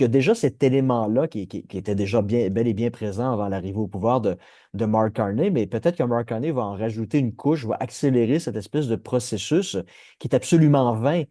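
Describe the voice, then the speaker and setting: A man's voice: medium pitch, dark tone, no room sound, clean studio sound. A man with a Québécois French accent, hosting a political podcast and talking through his views with many restarts and hesitations. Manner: discursive, frequent restarts and hesitations. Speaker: podcast host. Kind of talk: analytical political discussion.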